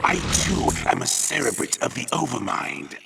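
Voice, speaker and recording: A man voicing a sinister alien hive creature, a formal and ominous advisor in a video game, with a harsh, raspy, gravelly voice. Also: mid-range voice, sing-song, moderate pace, background noise. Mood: menacing. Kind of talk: video game character briefing.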